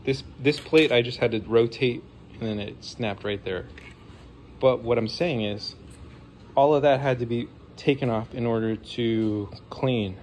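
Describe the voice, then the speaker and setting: A man's voice: mid-range voice, a little slow, darkish tone, a little hiss or hum. A man in his forties with a sniffly nose, chatting casually and enthusiastically while taking apart a console at his home desk, with rambling apologetic asides. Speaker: vlogger modding a game console. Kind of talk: casual hands-on tech talk.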